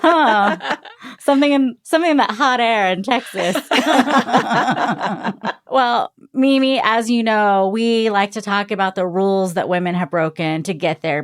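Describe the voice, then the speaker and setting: A woman's voice: mid-range voice, smooth. A woman hosting a career podcast, asking questions in a friendly, chatty way with laughs. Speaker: podcast host. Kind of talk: podcast interview.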